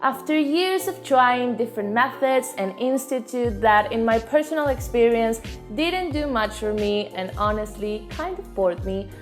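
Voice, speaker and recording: A woman in her twenties with an Argentine accent, a native Spanish speaker teaching a scripted online lesson in an upbeat, clear presenter manner, seated indoors facing the camera. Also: a little high, expressive, a little slow, soft background music. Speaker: Spanish tutor. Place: indoor living room with plants and fairy lights. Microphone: seated to camera, medium framing.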